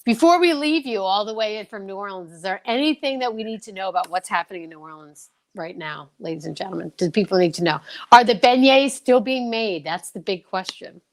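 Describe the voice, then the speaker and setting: A woman's voice: mid-range voice, animated, a little fast, hardly any pauses. A woman hosting a casual online chat show, teasing her companions and making showy announcer-like asides to the audience. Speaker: host.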